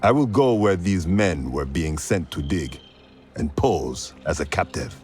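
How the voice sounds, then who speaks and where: A stubborn, commanding rebel leader for a game cutscene. His voice is fairly low with very lively intonation. He talks bluntly, with bold, sardonic wit. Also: a little slow, faint music behind. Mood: determined. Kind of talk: video game cutscene drama.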